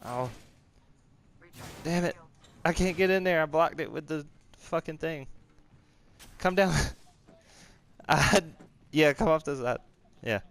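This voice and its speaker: A man's voice: fairly high pitch, a bit bright. A young man chatting casually with a teammate during gameplay, loose and joking, swearing when things go wrong.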